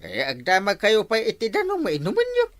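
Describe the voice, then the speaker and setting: A man's voice: high voice, huge pitch swings, a little fast, a bit bright, heavily acted. Man acting an exasperated, wildly swooping village captain. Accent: Ilocano. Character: exasperated male village captain keeping order. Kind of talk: broad barangay comedy acting.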